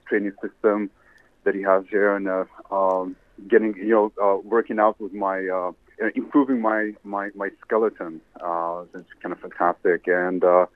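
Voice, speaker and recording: A man's voice: on the low side, dry recording. Man on a tinny phone line. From Sweden. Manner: rambling, halting, many restarts. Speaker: interview guest, entrepreneur. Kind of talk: casual interview answers. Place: salad bar.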